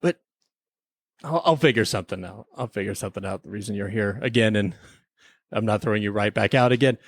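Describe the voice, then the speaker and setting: A man's voice: fairly low pitch, fairly quick, vocal fry, no echo. A man hosting a podcast that mixes casual chat with comic radio drama.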